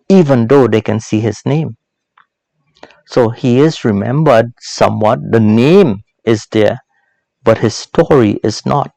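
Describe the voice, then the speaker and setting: A man hosting a teaching series, speaking in a reflective, sermon-like but informal way, deliberate and emphatic, with repeated phrases and rhetorical questions. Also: lowish voice, creaky, darkish tone, dry recording, low-quality recording. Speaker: host of a Bible proverbs teaching series.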